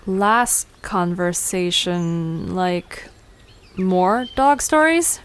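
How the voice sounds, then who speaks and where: A woman's voice: fairly low pitch, animated, drawn-out words. A playful, curious young female visitor played by a woman in an audio drama. She talks casually, asking and teasing in an easy conversational way. Slight background noise sits under her voice.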